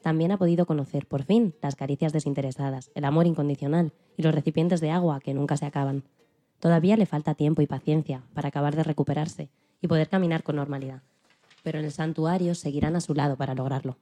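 Female narrator, low and very dark-toned, reading a scripted podcast piece. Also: steady tone, quick pace. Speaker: podcast host.

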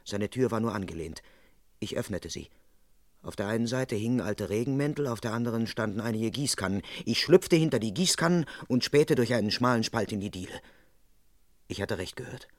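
An actor playing an indignant, self-important police constable in an old German radio crime play, earnest and dryly comic. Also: male voice, lowish voice, lively intonation, a little fast, no room sound, lightly acted. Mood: tense, earnest narrating.

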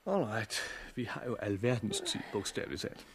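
An actor playing a practical, protective survivor of a catastrophe in a 1960s Danish radio drama, speaking in a measured, reassuring way. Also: male voice, lowish voice, lively intonation, slight background noise. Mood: matter-of-fact. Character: pragmatic, protective male survivor of a global catastrophe.

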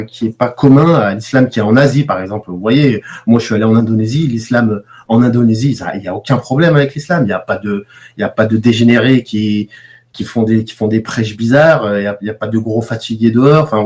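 A man's voice: mid-range voice, a little mellow. Brief: French man, mid-range voice, blunt debater, emphatic, restarts sentences. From France. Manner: emphatic, blunt, repeats himself when starting sentences. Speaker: debate participant. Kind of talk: heated debate.

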